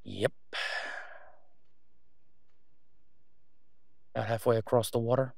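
A man's voice: medium pitch, steady tone, fast, gravelly, darkish tone, no room sound, clean studio sound. A man playing a sardonic, exasperated but protective vigilante antihero in an audio roleplay, with a weary, clipped manner. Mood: weary, muttering. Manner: sarcastic, weary, clipped, emphatic when irritated. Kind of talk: solo audio roleplay acting, conversational.

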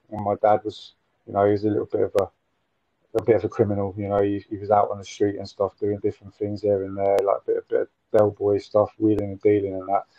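Halting man in his forties. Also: lowish voice, no room sound. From Nottingham, England. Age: 40s. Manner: halting, repeats words, frequent fillers. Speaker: interview guest. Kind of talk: casual storytelling interview. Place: room at home with window blinds. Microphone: video call. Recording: muffled dry video call audio.

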